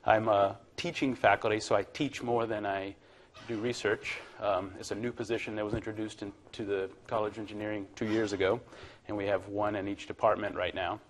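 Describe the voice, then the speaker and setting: A man in his thirties from Virginia giving a relaxed, chatty university lecture to a classroom, with plenty of ums and uhs. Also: on the low side, a little fast, hardly any pauses, slight background noise. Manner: relaxed, chatty, filler-heavy.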